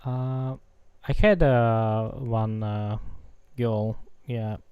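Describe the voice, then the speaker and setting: A man's voice: on the low side, fairly even intonation, very slow, smooth, no echo, muffled recording. A man in his thirties streaming game development from a home desk, chatting casually and hesitantly with many fillers. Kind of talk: casual live coding chat.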